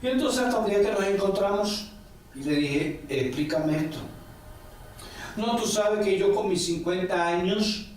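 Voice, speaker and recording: A man's voice: high-pitched, unhurried, darkish tone, distant mic, slight background noise. A man, answering interview questions in long rambling stories full of quoted talk and "ujú" fillers. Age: 40s. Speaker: interview guest, religious elder. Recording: echoey room, a bit off-mic, faint background.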